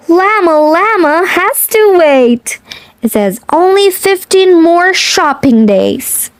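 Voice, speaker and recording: A woman reading a children's picture book aloud in a playful sing-song way, adding chatty little asides. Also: high voice. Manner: chuckles often between lines. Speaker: children's read-aloud host.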